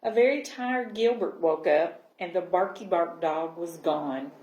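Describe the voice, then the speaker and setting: A woman reading a picture book aloud to young children as a library storyteller, in an expressive manner. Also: lowish voice. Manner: expressive, pausing to check understanding with listeners. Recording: roomy, echoing sound.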